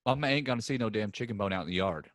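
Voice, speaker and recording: A man's voice: on the low side, expressive, a bit bright. A man playing a bitter, hot-tempered farmer. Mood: angry. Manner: gruff, scornful, quick to anger. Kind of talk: staged play reading.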